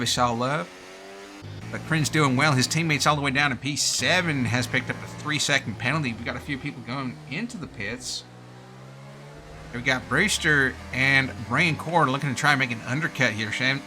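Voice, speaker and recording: A man's voice: mid-range voice, moderate pace, pushed and bright, slight background noise. A man doing live sim-racing commentary in a put-on American accent, informal and slangy, with casual asides. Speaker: race commentator and streamer.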